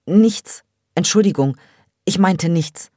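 A woman's voice: fairly low pitch, animated, measured pace, a little mellow, no room sound, studio quality, pitched above the performer's own voice. Woman doing a timid, apologetic teenage girl. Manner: hesitant, apologetic.

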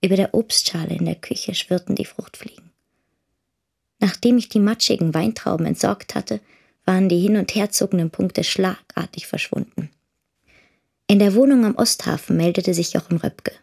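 An actress playing a matter-of-fact grown daughter, a young woman with a husky, creaky voice, narrating in the first person. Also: mid-range voice, normal speed, a little mellow. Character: matter-of-fact grown daughter searching for her missing father.

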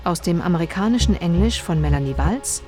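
A woman's voice: on the low side, expressive, brisk, darkish tone. Female radio play announcer over music. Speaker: announcer of title and credits. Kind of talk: scripted credits announcement.

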